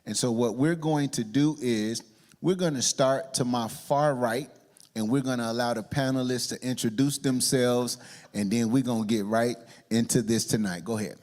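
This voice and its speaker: A man hosting a church community talk before a studio audience, energetic and rallying online viewers and the crowd. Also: medium pitch, moderate pace. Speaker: church livestream host. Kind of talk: hyped livestream hosting.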